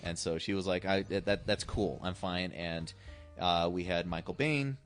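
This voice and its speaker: Deep-voiced man chatting about movies. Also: moderate pace, faint music behind. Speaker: podcast co-host discussing film. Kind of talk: casual film discussion.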